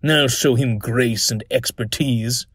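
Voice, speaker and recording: A man voicing a loud, boastful male steam engine character in a children's story, with a pompous, sneering, snorting manner. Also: mid-range voice, steady tone, slow pace, slightly rough, no room sound, studio quality. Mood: huffy, impatient.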